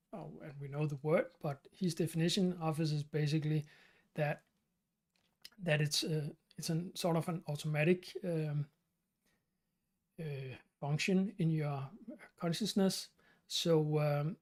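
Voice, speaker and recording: A man from Denmark with a Danish accent hosts his channel's live stream Q&A. He talks in a halting way, with many fillers and restarts. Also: a little high, a little mellow. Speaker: channel host.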